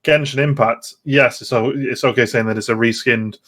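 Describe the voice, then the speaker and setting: A man with a middle-pitched voice chats casually as a podcast co-host. He feels his way through sentences, repeating phrases as he makes his point. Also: steady tone, normal speed, fairly bright tone. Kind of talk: casual chat.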